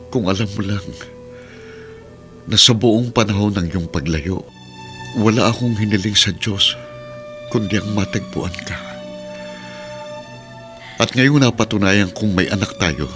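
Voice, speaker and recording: Heartbroken young father played by an actor, radio melodrama: lowish voice, earnest, pleading, music underneath. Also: close to an everyday voice. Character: devoted, heartbroken young father and jilted lover. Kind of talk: melodramatic Filipino radio drama acting.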